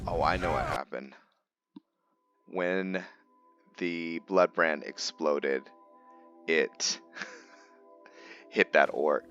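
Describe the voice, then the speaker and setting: A man giving casual let's-play commentary on a video game, thinking aloud in a halting way and trailing off mid-phrase. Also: sits low, pushed and bright. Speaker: gaming host narrating a playthrough. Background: game music behind the voice.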